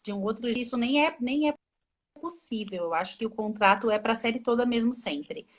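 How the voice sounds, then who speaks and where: A woman's voice: on the high side, fairly quick. A woman translator, a guest on a live video stream, talking casually and thinking aloud with many restarts. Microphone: phone or laptop mic on a video call.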